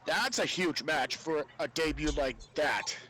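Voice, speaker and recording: A man's voice: on the high side, a little slow, bright, forceful tone. A man chatting casually as a fan on a podcast panel, stammering and repeating words as he goes.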